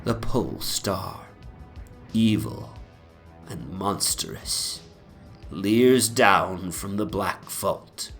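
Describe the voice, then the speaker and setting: Slow, low-voiced man reading horror dramatically. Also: fairly bright tone, soft background music. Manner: theatrical, archaic phrasing, brooding and anguished first-person delivery. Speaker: dramatic reader of a horror story. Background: soundscape rumble.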